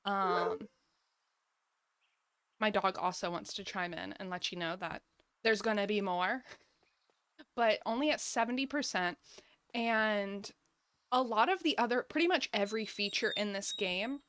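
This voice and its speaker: A woman's voice: mid-range voice, unhurried, long pauses, a bit bright, no room sound. A woman doing casual live gaming commentary, chatting and thinking aloud as she plays. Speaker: game streamer.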